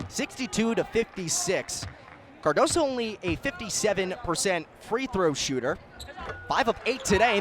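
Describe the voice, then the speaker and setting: A young American man in his twenties calling live sports play-by-play as a broadcaster. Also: high voice. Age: 20s. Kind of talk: sports commentary and scripted sports update.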